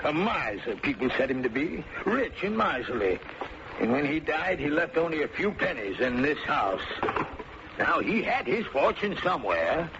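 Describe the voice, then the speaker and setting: Creaky old backwoods farmer. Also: male voice, on the high side, sing-song, normal speed. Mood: bewildered. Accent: rural American. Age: older. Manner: folksy rustic drawl. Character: old backwoods farmer, talkative, folksy, touchy. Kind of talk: old-time radio drama acting. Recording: muffled, noisy old radio recording.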